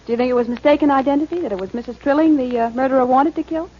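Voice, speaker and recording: An actress playing a detective's knowing, teasing companion, wry and playfully skeptical, in 1940s radio drama style. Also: female voice, high-pitched, very fast, lightly acted. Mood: teasing, curious. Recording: dull, noisy 1940s radio broadcast.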